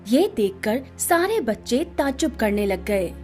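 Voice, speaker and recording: Female narrator, bedtime story, scripted, lively intonation, expressive, prominent background music. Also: a little high, unhurried.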